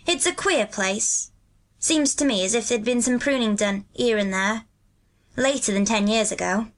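A storybook country lad of twelve played by a woman, cheerful and earthy, with a broad Yorkshire accent. The voice sits fairly high and talks in hearty, good-natured rustic dialect. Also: normal speed, close to an everyday voice. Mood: musing.

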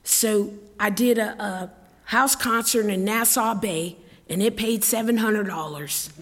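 A middle-aged woman talks to a live audience between songs, rambling cheerfully through a long story with laughs along the way. Her voice sits at an ordinary pitch and carries a long reverb from the concert hall. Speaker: singer-songwriter.

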